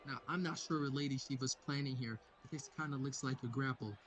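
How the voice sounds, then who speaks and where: A man's voice: mid-range voice, fairly quick, fairly bright tone, slight background noise. A man doing a casual comic read-along commentary in a profane, slangy way.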